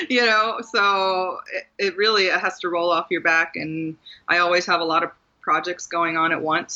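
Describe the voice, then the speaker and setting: A woman's voice: low voice, bright, forceful tone, dull audio. A middle-aged woman author from Maryland, talking candidly and with self-deprecating humor in a casual interview, laughing often. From Maryland, USA. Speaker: guest author.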